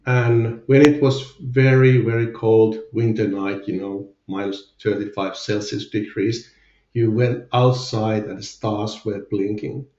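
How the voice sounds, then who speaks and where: A man's voice: on the low side, steady tone, measured pace, darkish tone, roomy sound, muffled recording. A man with a Finnish accent, a guest in a candid podcast interview, speaking hesitantly with many fillers. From Finland. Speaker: guest, company CFO.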